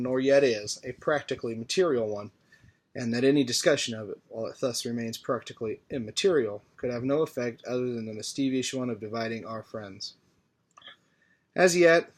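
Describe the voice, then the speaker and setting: A man reading a formal historical political speech aloud in a measured, deliberate way. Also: mid-range voice. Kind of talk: formal oratory read aloud from a text.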